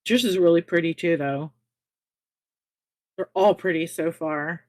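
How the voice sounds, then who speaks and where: Woman, slow eager chatty talk, dry close sound, casual crochet livestream. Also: fairly low pitch, a little mellow, no echo. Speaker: guest crocheter.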